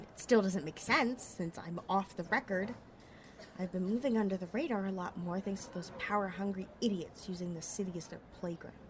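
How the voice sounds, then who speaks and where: A woman's voice: mid-range voice, reverberant, long reverb tail, slight background noise, natural voice. A woman playing a cocky, sarcastic young pickpocket outlaw in a sci-fi audio roleplay, muttering wryly to herself. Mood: wary, resolute. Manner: wry, sardonic, slangy, talking to herself.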